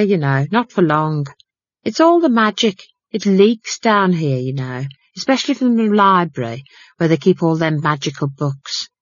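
A woman's voice: medium pitch, dry recording, lo-fi sound, voice pushed up, close to an everyday voice. A woman voicing a fussy, gossipy middle-aged housekeeper who talks in an eager, chatty way.

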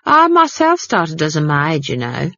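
A woman's voice: low-pitched, huge pitch swings, a little slow, bright, forceful tone, no room sound, lo-fi sound, full character voice. A woman voicing a fussy, gossipy middle-aged housekeeper who talks in an eager, chatty way. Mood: proud.

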